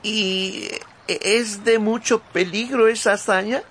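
An actor playing a humble, practical squire with a deferential, hesitant way of talking in a radio drama. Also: male voice, high-pitched, fairly even intonation, slow pace, fairly bright tone, slight background noise. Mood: puzzled. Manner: deferential, stammering, plain-spoken. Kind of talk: Spanish-language radio drama acting. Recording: studio radio drama with background music.

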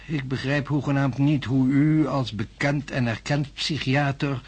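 An actor playing an impatient, self-assured professor of science in a radio drama, speaking formally and pedantically. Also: male voice, mid-range voice, steady tone, measured pace, husky. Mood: irritated. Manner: formal, pedantic, emphatic lecturing.

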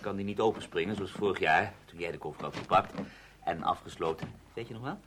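An actor playing an irritable, sarcastic, stubborn husband with a husky voice in a Dutch audio drama. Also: male voice, low voice, quick pace, background noise, close to an everyday voice. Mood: sarcastic, quieter. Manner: exasperated, sarcastic, swearing.

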